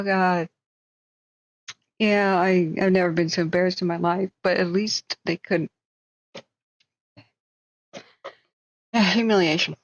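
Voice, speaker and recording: Casting note: chatty woman streamer, rambling and self-deprecating, lowish voice, dull close lo-fi sound. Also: dry recording. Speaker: live-stream host. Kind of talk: casual live-stream chat.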